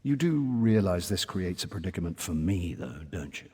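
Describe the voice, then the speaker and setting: Wry, regal high king, echoing hall. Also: sing-song, moderate pace, darkish tone, breathy edge, exaggerated voice. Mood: deliberating. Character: weary, wry high king choosing a successor. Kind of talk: fantasy video game voice acting.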